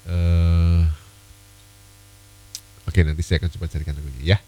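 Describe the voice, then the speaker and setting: Man, radio DJ, very low voice, slightly hoarse, sniffly cold, chatty with fillers, mains hum. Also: flat delivery, moderate pace, dark tone, rough recording. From Indonesia. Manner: chatty, friendly, many fillers and asides. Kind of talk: evening radio request show.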